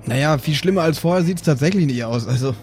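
A man's voice: on the high side, fast talker, darkish tone. Casual male role-player, faint music behind. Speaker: player in a tabletop role-playing podcast. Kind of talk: improvised in-character casual chat. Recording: music under the voice. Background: background music.

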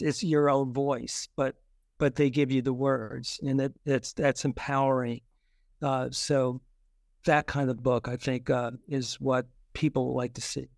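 A man speaking casually as an author being interviewed, haltingly repeating and rephrasing his thoughts. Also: medium pitch, vocal fry, dry recording, clean studio sound. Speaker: children's book author, podcast guest.

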